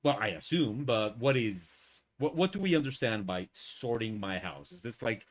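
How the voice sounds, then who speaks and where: Playful man with lively pitch. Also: moderate pace. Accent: American, learned in New York. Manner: joking, playful questions. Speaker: host. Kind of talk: casual chat on a live stream.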